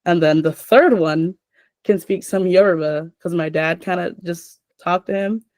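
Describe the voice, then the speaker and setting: A woman's voice: on the low side, unhurried, a little mellow, dry recording. A young adult woman hosting a casual chat, rambling with lots of filler words.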